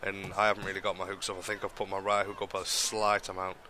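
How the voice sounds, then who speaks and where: A young man talks over his boxing game in a low, nasal voice, his nose blocked by a cold. His delivery stays flat and even, wandering through ums and restarted sentences as he explains his tactics. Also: fast talker, a little hiss or hum. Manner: rambling, many ums and restarts. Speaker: gaming commentator. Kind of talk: casual gameplay commentary.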